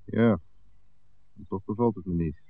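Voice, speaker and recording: A man's voice: lowish voice, a little slow, no room sound. An actor playing a wary, menacing male crook who leads his mates, talking bluntly in a Dutch radio drama on an old narrow-band recording. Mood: wary, brooding.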